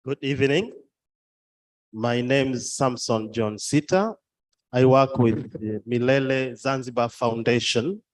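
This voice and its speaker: Guy making a halting point at a conference, stumbles and repeats words. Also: medium pitch. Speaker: conference participant. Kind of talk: prepared floor contribution.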